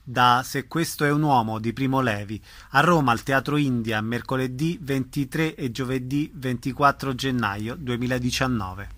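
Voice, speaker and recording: A man's voice: mid-range voice, moderate pace, fairly bright tone, dry recording. A man reading a scripted radio announcement.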